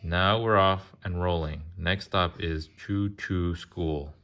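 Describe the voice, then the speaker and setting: A man reading a children's picture book aloud in a casual way, pausing to chat, ask questions and explain. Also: low-pitched, steady tone, sharp tone. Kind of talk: casual read-aloud with chatty asides.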